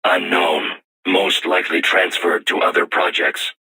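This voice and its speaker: A young but learned robot weapon for a video game. The voice is very deep, piercingly sharp and heavily distorted by machine processing. It speaks in formal, measured, stilted phrases with a solemn temper. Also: male voice, little pitch movement, breathy edge, heavily acted. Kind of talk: scripted video game voice acting.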